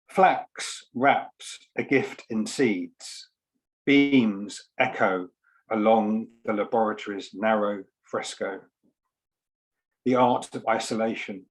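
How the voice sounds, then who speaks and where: Male poet, low register, unhurried and measured, for readings and short introductions. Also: low-quality recording. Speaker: poet reading at a book launch. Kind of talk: poetry reading with spoken introduction. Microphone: online video call.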